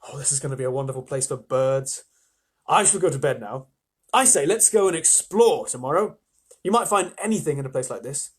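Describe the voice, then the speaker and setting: A man's voice: medium pitch, lively intonation, a little fast, breathy edge. Man playing an eager, confident British schoolboy. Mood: excited. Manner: old-fashioned British schoolboy enthusiasm.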